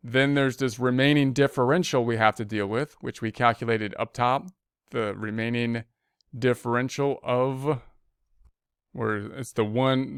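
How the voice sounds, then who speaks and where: A man with a smooth, clean voice of average pitch teaches in an informal, explanatory way. He talks through each calculation as he goes, reading numbers out digit by digit. The recording is close and dry, with very little room sound. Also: a bit bright, dry recording. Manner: conversational, explanatory, reads figures out digit by digit. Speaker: accounting instructor. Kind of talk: informal screen-recorded tutorial, working through calculations aloud.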